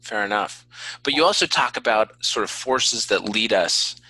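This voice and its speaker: A man's voice: lowish voice, lively intonation, normal speed, pushed and bright, husky, dry recording, low-quality recording. A man hosting a casual interview, putting in brief responses and probing questions. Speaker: podcast host.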